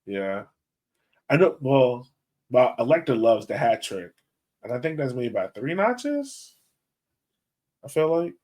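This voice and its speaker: A man's voice: mid-range voice, big pitch swings, slow pace, pauses often, a little mellow. Laid-back man chatting on a podcast. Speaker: podcast co-host. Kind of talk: casual soccer podcast chat.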